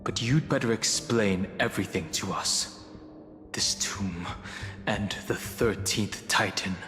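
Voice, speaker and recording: An actor playing a stern, guarded young male companion in a video game, speaking in a measured, restrained way. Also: lowish voice. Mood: stern, distrustful. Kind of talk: dramatic video game voice acting. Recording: reverberant hall, clear music behind.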